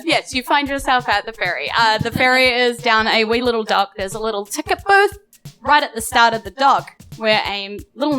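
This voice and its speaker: A woman's voice: medium pitch, a bit bright. A woman with a New Zealand accent narrating as game master for a live tabletop game. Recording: music under a live stage recording.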